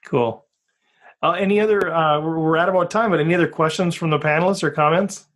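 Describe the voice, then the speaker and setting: A man's voice: on the high side, fast talker, fairly bright tone. A man hosting a casual podcast interview, friendly and joking as he wraps up the talk. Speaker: podcast host.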